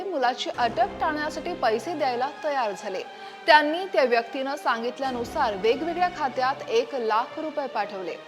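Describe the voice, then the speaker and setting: High voiced woman doing a scripted news explainer, music playing behind her. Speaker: news presenter. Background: background music.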